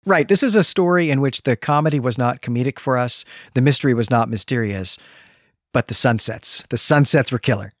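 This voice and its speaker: A man's voice: mid-range voice, fairly quick, dry recording, studio quality. A man co-hosting a literary podcast, speaking in an articulate, reflective and appreciative way. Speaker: podcast co-host. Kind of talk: literary discussion podcast, casual analytical chat.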